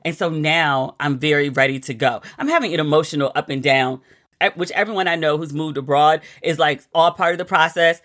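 An American woman hosting a solo podcast, talking in a chatty, animated and confiding way. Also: low voice, a little fast. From United States. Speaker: podcast host. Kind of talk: casual solo podcast chat.

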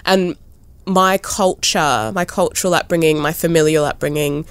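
A young woman in her twenties speaking in a relaxed, thoughtful way in an interview, often pausing with "like" and "you know". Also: low voice, clean tone, no echo. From born in Ethiopia, raised in Kenya and Australia. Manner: thoughtful, frequent "like" and "you know", lively rises. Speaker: interviewee, artist. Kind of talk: casual conversational interview.

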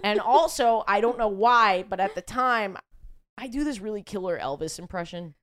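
A woman's voice: medium pitch, normal speed, no echo, studio quality. A young adult woman hosting a podcast, telling a story in a wry, self-deprecating, casual way. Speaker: podcast host.

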